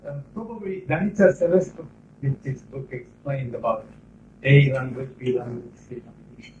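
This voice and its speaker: A man's voice: mid-range voice, expressive, a little mellow, slight background noise. Japanese-accented man lecturing in a classroom. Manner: measured, explanatory, pauses between phrases. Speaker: lecturer on interpreting. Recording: roomy, distant, dull 1990s classroom tape.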